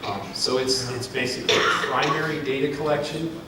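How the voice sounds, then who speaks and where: A man's voice: mid-range voice, steady tone, normal speed. A man presenting a market analysis at a public forum, speaking off the cuff with many ums and restarts. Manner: thinking aloud, frequent ums and restarts. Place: large room at a public forum. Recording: echoey hall, far from mic, noisy.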